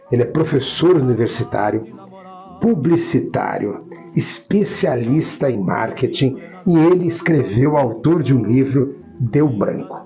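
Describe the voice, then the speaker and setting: A man's voice: mid-range voice, a little mellow, dull audio. A man with a Brazilian Portuguese accent working as an interviewer in a casual, chatty style, often repeating phrases for emphasis. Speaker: interviewer, professor.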